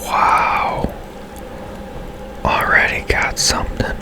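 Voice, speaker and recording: Slow whispering man, ASMR gamer. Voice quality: breathy unvoiced whisper. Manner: unhurried, hushed musing. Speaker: gaming streamer. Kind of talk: whispered ASMR game commentary.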